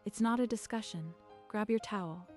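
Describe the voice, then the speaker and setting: A woman's voice: mid-range voice, normal speed, faint music behind, close to an everyday voice. A woman playing a firm, protective, insistent swim instructor in a scripted manga dub. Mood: stern. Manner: commanding, insistent.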